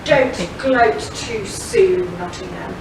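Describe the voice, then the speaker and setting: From far off in a very echoey hall, a woman plays a bold pantomime outlaw hero. The delivery is theatrical and teasing, high and full of swoops. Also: sing-song, slow pace, full character voice. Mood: bold, taunting. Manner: theatrical, heroic, declamatory. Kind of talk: stage pantomime acting. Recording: very echoey hall, distant mic, clear noise.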